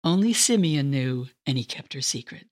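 A woman's voice: very deep voice, animated, moderate pace. A woman reading scripted dramatic narration as a storyteller.

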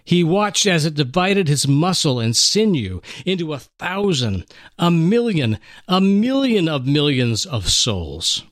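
A middle-aged man reading a dramatic story in a slow, solemn way, his voice sinking low at the end of lines. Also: big pitch swings, no room sound, clean studio sound. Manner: slow and solemn, drops low at line ends. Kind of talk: dramatic scripted narration. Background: soft music.

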